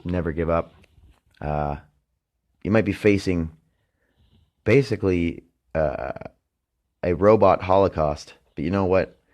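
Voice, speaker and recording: A deep-voiced Canadian man talks through a loose solo podcast monologue. He rambles and swears freely, his words sometimes sinking into a mutter and then jumping up into loud, emphatic lines. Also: measured pace, long pauses. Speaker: podcast host. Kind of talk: casual late-night solo monologue.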